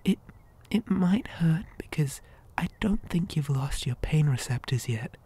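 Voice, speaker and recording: A woman playing a possessive living doll in an ASMR horror roleplay, gently coaxing with childlike menace in a breathy, hesitant whisper. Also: low voice, expressive, heavily acted. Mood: calmly menacing. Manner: soft, coaxing, slow and hesitant.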